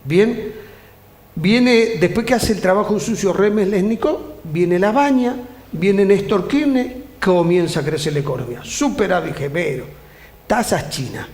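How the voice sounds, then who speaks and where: A man with a high-pitched voice and an Argentine accent hosts an interview, laying out his points emphatically in lists peppered with interjections. His voice carries a roomy echo. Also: animated, a little slow, darkish tone. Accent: Argentine Spanish. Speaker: TV interview host. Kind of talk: interview questions and commentary.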